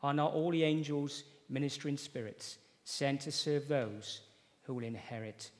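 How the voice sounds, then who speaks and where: A man leading a church service, reading scripture aloud in an earnest, measured way and praying. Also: mid-range voice, darkish tone. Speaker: church leader introducing the preacher. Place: church sanctuary with wooden panelling. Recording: long reverb of a church hall.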